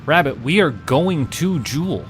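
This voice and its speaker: A man's voice: a little high, big pitch swings, measured pace. A man with a Canadian accent giving casual gameplay commentary as a livestream host to a live chat. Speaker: livestream host playing a space simulation game. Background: music.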